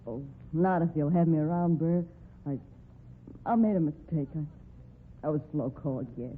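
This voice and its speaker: A woman's voice: sits low, normal speed, lightly acted. A woman playing a plucky young cowgirl with an American accent and folksy, emotive talk, in old-time radio drama style. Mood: contrite, tender. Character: plucky, devoted young cowgirl with rough country grammar. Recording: noisy, tinny old radio recording.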